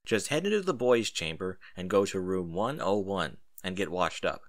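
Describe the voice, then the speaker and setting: A man's voice: sits low, animated, moderate pace, dry recording, clean studio sound, pitched below the performer's own voice. A man voicing an authoritative captain in a story, speaking in a casual, commanding way. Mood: directing. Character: male captain, authoritative adult directing a young boy.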